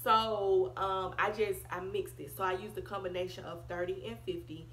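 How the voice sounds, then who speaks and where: A woman's voice: medium pitch, steady tone, moderate pace, a bit bright, echoey room, a little hiss or hum. A young woman with a cold and a nasal voice, chatting casually to the camera in a hair review vlog from her bedroom. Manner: chatty, talks straight to the camera with hand gestures. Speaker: beauty vlogger. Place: bedroom at home, indoors.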